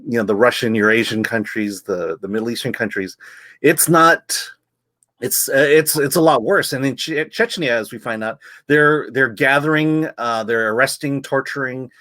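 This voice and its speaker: A man's voice: medium pitch, normal speed, pushed and bright, dry recording. A man, editor of a film site, hosting a casual review chat with many ums and repeated words. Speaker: film magazine editor and host.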